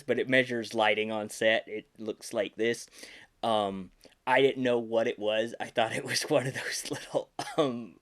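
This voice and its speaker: A man in his thirties hosting a podcast, telling behind-the-scenes stories casually in a hesitant, self-deprecating way. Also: mid-range voice. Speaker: podcast host and puppeteer.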